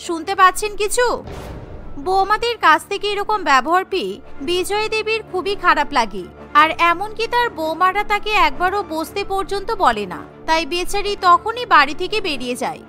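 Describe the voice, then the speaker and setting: A woman's voice: extremely high pitch, lively intonation, fairly quick, pushed and bright, soft background music. A woman narrating a scripted cartoon family story.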